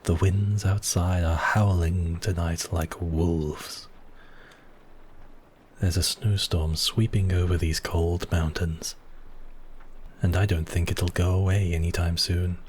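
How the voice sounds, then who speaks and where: Casting: male actor for a possessive vampire count, very low-voiced and teasing, ASMR roleplay, faint ambience behind. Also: a little mellow, slight background noise. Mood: teasing, silky. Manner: silky, teasing, drawn-out phrasing with sighs and pauses. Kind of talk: intimate ASMR roleplay acting.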